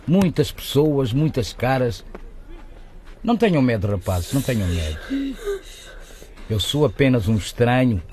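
A man's voice: mid-range voice, huge pitch swings, a little slow, pauses often, a crowd in the background. A man acting in a radio drama as a shifty, overly polite stranger who evasively feigns innocence. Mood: sly, evasive. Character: shifty adult male stranger feigning innocence to frightened children.

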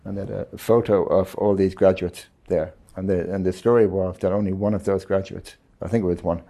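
Irish male bank executive, 50s, gravelly, measured interview answers. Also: low-pitched, fairly even intonation, mellow. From Ireland. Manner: measured, restarts phrases while thinking. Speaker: bank managing director. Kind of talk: interview conversation.

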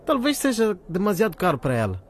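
A man's voice: high-pitched, huge pitch swings, fast talker. A man playing a frank, scolding teenage schoolboy in a colloquial radio drama. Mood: advising. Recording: faint background noise.

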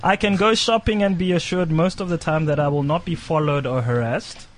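A man, his voice sitting a little on the high side, hosts a radio talk show. He reads a checklist aloud briskly and chats with his co-hosts between the items. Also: slight background noise.

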